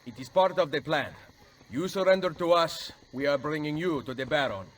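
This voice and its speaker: An actor playing a composed, shrewd Italian assassin hero in a video game, speaking with an Italian accent in a purposeful way. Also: male voice, on the high side, moderate pace, slight background noise, lightly acted. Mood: confident, plotting. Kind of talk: dramatic video game acting.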